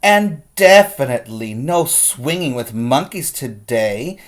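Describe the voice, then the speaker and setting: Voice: mid-range voice, lively intonation, slow pace. Storyteller acting out a patient, playful grandmother. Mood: playful coaxing. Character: patient, playful grandmother coaxing a grumpy child.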